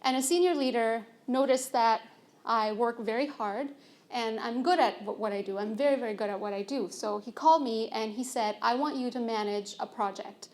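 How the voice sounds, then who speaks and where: A young adult woman, soft-spoken and earnest, telling a personal story on stage at a conference, with fillers and restarts. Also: fairly high pitch, moderate pace. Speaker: conference speaker.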